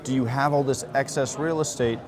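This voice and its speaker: A man interviewing at a trade show, with an even mid-range voice and keen enthusiasm. The busy hum of a crowd surrounds him on the show floor. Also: mid-range voice, a little fast. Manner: enthusiastic, frequent affirmations.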